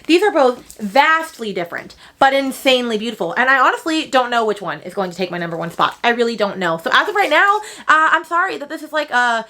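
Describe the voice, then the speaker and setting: A woman's voice: fairly high pitch, big pitch swings, brisk, few pauses, sharp tone. A young woman chatting casually to camera as a book reviewer, gushing and slangy, repeating words for emphasis. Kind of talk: casual enthusiastic chat to camera.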